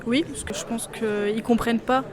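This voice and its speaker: Young woman, 19, student chatting in an interview, highish voice, lots of crowd noise. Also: steady tone, moderate pace. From Vosges, France. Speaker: social work student being interviewed. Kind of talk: casual, short interview answers. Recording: outdoors amid busy crowd chatter. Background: crowd chatter outdoors.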